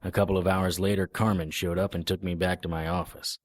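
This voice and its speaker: A man's voice: low voice, little pitch movement, fairly quick, dry recording, studio quality. A man playing a tough, sardonic private investigator in a noir radio drama, both narrating and speaking in scenes. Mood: grim narration. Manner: hard-boiled, sardonic. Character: tough, defiant, wry male private investigator. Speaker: lead actor and narrator.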